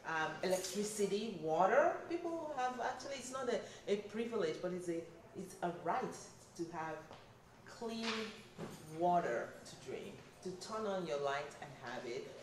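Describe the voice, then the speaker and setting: A woman's voice: lowish voice, far from the mic. A middle-aged woman from Nigeria, earnestly answering an interviewer at a community town hall and listing her points one by one. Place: recreation center, crowd nearby. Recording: roomy hall, voice from off-mic, crowd behind.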